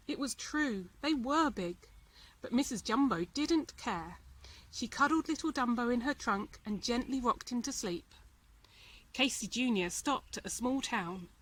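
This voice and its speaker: A woman narrates a children's story in a fairly high voice. Her reading is expressive, in the manner of a storybook read aloud to children. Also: low-quality recording. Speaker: volunteer storybook reader.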